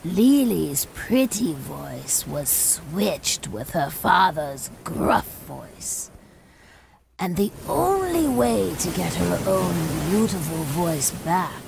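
A woman voicing a grave, haunted storyteller in a video game, with a creaky voice and a deliberate, dramatic delivery. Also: low voice, very animated, background noise, exaggerated voice. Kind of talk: video game character monologue.

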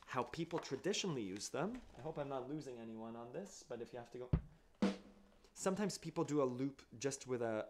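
A man in his thirties, an accordion teacher, explaining things step by step in a relaxed live-stream lesson from a small home music room. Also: medium pitch, fairly quick. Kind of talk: casual live-stream demonstration. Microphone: studio mic on boom arm, wearing headphones. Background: accordion and looped music.

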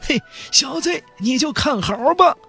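A man voicing a boastful, hearty old immortal Taoist master in a fantasy audiobook, with drawn-out delivery. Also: very high-pitched, lively intonation, vocal fry, sharp tone, faint music behind, voice pushed up, exaggerated voice. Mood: gleeful, boastful. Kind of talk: audiobook character acting.

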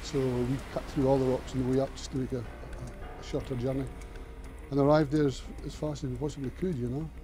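A man speaks earnestly in an interview and sometimes repeats words as he goes. His voice sits at a middle pitch. Music is clearly heard under him. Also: flat delivery, a little fast, dark tone. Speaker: lifeboat operations manager. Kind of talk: interview recollection.

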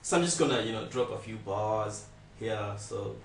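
A man's voice: on the low side, moderate pace, gravelly, a little hiss or hum. Young male tutorial presenter, informal and repetitive, recorded at a distance in an untreated, echoey room. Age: young adult. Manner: informal, repeats instructions. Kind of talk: casual step-by-step software tutorial. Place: small indoor room, not soundproof.

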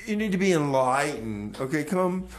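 A man's voice: on the high side, very animated, normal speed. A man playing a forgetful, self-important aging radio DJ in a comedy radio play, rambling and stammering defensively.

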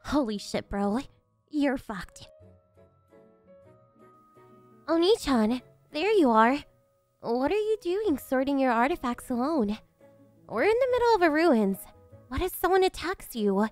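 A woman's voice: sits high, big pitch swings, measured pace, a little mellow, soft background music. A woman voicing a brash, teasing young anime heroine with a blunt manner in a comic dub. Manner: blunt, foul-mouthed.

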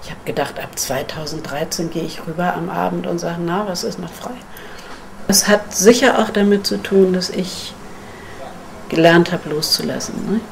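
Creaky older woman reflecting. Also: fairly low pitch, moderate pace, slight background noise. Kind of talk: reflective personal talk.

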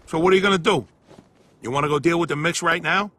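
A man's voice: fairly high pitch, fast talker, bright, forceful tone. A man playing a brash, easygoing mobster buddy in a video game, with an American accent and colloquial, streetwise talk. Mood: teasing, reassuring. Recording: noisy game ambience, engine sounds behind.

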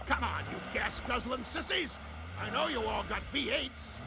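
A man playing a gruff army jeep drill sergeant in a cartoon game, barking military commands. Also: very high voice, animated, normal speed, heavy background noise, heavily acted. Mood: barking orders. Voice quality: gravelly. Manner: commanding, shouting military bark. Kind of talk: animated game cutscene acting.

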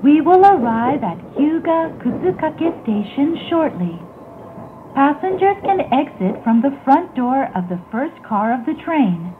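A woman giving a scripted onboard train announcement in a formal, deliberate, polite manner. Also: very animated. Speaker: English-language train announcer. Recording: tinny phone-like playback, loud train noise. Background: rumbling train carriage.

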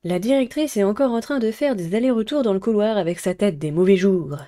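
A woman's voice: mid-range voice, fairly quick, dark tone. A young woman, casually commenting on a game and reading its text aloud. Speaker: streamer playing a visual novel.

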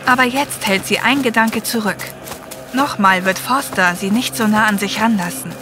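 A woman's voice: medium pitch, normal speed, over music. A woman reading scripted story narration as the narrator of an audio drama. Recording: clear helicopter and effects noise behind. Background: ambience, helicopter, vehicles.

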